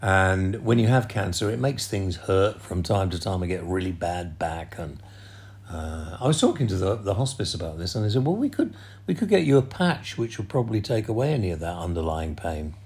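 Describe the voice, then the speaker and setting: Deep-voiced older man, chatty. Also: lively intonation, brisk, a little mellow. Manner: chatty, laughs often. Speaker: podcast host. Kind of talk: casual chat.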